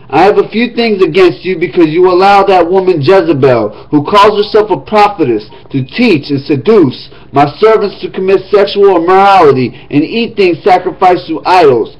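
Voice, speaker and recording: A man's voice: high-pitched, poor audio. A man reading the Bible aloud in an emphatic, declamatory manner, with casual touches. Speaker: scripture reader.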